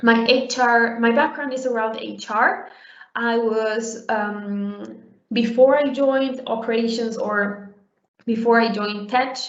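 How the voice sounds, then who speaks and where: Woman from Uruguay, young adult, chatty talk with fillers, roomy dull lo-fi sound. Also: fairly high pitch. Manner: conversational, many fillers and restarts. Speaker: conference speaker. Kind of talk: conference talk.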